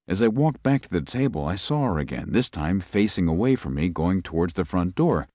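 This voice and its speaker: Actor as a deep-voiced young lawyer narrating. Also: male voice, big pitch swings, darkish tone, dry recording, studio quality. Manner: measured, tells the story with rising unease. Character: young male lawyer recuperating in the country. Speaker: narrator and lead. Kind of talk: audio ghost story narration.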